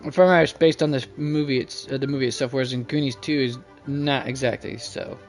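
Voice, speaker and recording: A man's voice: medium pitch, fairly even intonation, fast talker. Rambling male game streamer over music. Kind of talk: casual live stream chat. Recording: music playing behind the voice.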